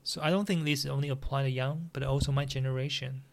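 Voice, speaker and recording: Gravelly-voiced man hosting a solo podcast. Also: mid-range voice, steady tone, fast. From Taiwan. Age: 40s. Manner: earnest, plain, with frequent restarts in non-native English. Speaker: podcast host.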